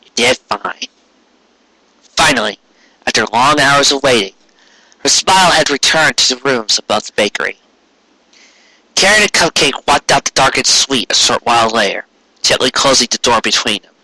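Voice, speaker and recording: Amateur male audiobook narrator: mid-pitched, sharply bright voice, scripted storytelling. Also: lively intonation, normal speed, no room sound, low-quality recording.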